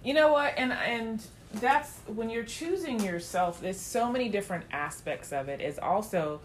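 A woman in a casual chat, telling a story in an earnest, measured way. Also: on the high side, lively intonation, slight background noise.

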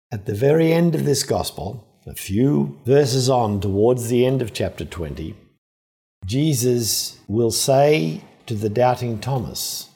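A man giving a measured, earnest Easter homily on a gospel passage. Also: medium pitch, warm tone. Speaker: preacher.

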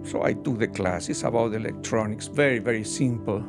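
An older man from Argentina, recounting his childhood as a guest in an interview with soft laughs. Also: fairly low pitch, over music. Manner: unhurried, warm recollection with soft laughs. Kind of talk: interview storytelling.

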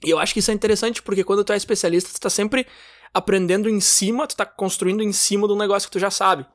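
A man's voice: high-pitched, steady tone, fast, dry recording, clean studio sound. A man, co-hosting a podcast in casual chat, reasoning aloud with "sabe?" tags. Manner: talks in long reasoning runs with many "cara" and "sabe". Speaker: podcast co-host.

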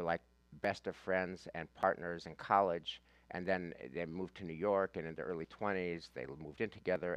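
An American man with a fairly low voice leads a class as a rabbi. He speaks informally and emphatically, leaning on repetition and rhetorical questions. The recording is dry, with hardly any room sound. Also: fairly bright tone, no room sound. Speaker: rabbi leading a Talmud class. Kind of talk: informal teaching talk with reading from a handout.

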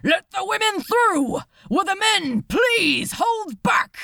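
A harried ship's officer played by a woman reader shouts commands in an audiobook story. The voice is raised high and urgent, with big swings of pitch and a dry, close sound. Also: very animated, slow pace, vocal fry, no echo, heavily acted. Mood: urgent, commanding.

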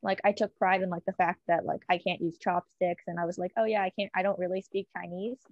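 A woman's voice: fairly low pitch, steady tone, fast, no room sound, lo-fi sound. A young woman, speaking casually in a student discussion.

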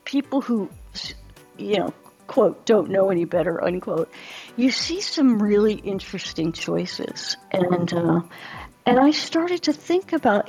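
A middle-aged woman guest reflecting in conversation, thoughtful and halting as she builds her ideas. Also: on the high side, a little mellow. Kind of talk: reflective conversational interview talk.

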